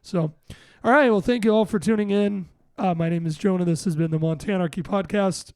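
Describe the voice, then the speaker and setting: A young man with a raspy, hoarse voice hosting a casual podcast, rambling and joking through quick restarts. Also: high-pitched, dry recording. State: hoarse, voice worn out. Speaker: podcast host.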